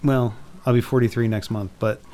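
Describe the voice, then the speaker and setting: A man in his early forties, a podcast co-host, talking in casual chat in a halting, hesitant way full of restarts. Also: mid-range voice, fairly even intonation, dark tone. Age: 40s.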